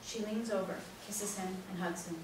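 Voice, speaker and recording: A woman's voice: medium pitch, fairly even intonation, moderate pace. A woman reading stage directions as scripted narration for a play reading. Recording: echoey room, distant mic, noisy.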